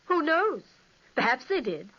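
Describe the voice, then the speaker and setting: A woman's voice: high-pitched, big pitch swings, a little slow, bright, forceful tone, lo-fi sound, heavily acted. An actress playing a young woman telling a ghost legend dramatically in an old radio play. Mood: spooky. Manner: dramatic storytelling. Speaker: storytelling sister. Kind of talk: 1940s radio acting.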